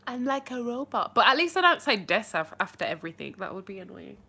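A young woman chatting casually to viewers on a live stream from her bedroom, bubbly and animated, with her phone held at arm's length. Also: fairly high pitch, fast talker, husky. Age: young adult. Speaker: livestream host answering viewer questions. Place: small bedroom indoors.

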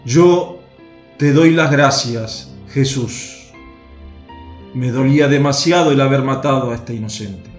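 An actor playing an earnest Roman soldier in a radio drama. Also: male voice, mid-range voice, measured pace, echoey room, soft background music, close to an everyday voice.

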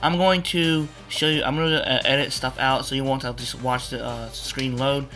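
A young man with a hoarse, cracking voice, rambling casually through a video game walkthrough with many fillers. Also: mid-range voice, steady tone, normal speed, sharp tone, faint music behind. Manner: rambling, repeats "you wanna" with fillers. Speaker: gaming tutorial maker. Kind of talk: casual screen-capture walkthrough.

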